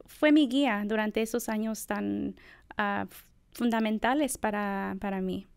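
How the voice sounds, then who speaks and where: Middle-aged woman academic, reflective interviewee, gravelly but clear, dry close sound. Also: medium pitch, a little slow, a little mellow, no room sound. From United States. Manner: thoughtful, reflective, many fillers. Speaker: assistant professor of bilingual education. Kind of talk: conversational interview.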